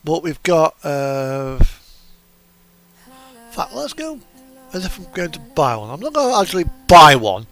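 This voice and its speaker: A man's voice: on the high side, sing-song, slow pace, a bit bright. A man giving casual, rambling gameplay commentary, hesitant and thinking aloud as he goes. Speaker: host of a truck simulator gaming video. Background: music from an in-game radio.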